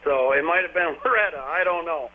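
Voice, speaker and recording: A man's voice: fairly high pitch, brisk. Middle-aged man on a tinny phone line. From Vermont, USA. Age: 40s. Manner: rambling, folksy storytelling with frequent fillers. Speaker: country singer, interview guest. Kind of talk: casual phone interview. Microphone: telephone.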